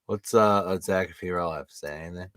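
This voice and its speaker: A young man co-hosting a casual live stream, relaxed and joking as he rambles through memories. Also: low-pitched, fast talker, no echo, clean studio sound. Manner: laid-back, joking, rambling.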